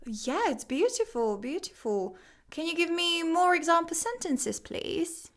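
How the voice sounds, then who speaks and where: A woman with a high voice, stuffed up and scratchy-throated from a cold. She chats warmly and loosely, full of fillers and endearments, as she runs a casual English lesson. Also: animated, measured pace. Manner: affectionate, chatty, many fillers. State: stuffy nose and sore throat. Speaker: English teacher, channel host. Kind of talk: casual chat.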